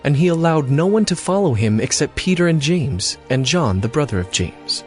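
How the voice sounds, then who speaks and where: A man's voice: on the high side, sing-song, normal speed, faint music behind. A man reading scripted narration for a dramatized audio Bible.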